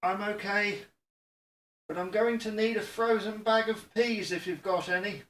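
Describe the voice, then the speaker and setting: A British actor playing a hapless middle-aged husband in a domestic audio sitcom, mild, put-upon and wryly grumbling. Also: male voice, high voice, fairly even intonation, measured pace, fairly bright tone. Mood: sheepish.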